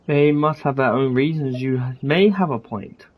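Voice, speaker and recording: A man's voice: mid-range voice, normal speed, lo-fi sound. A man giving informal, rambling commentary while streaming a game. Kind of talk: casual gameplay commentary.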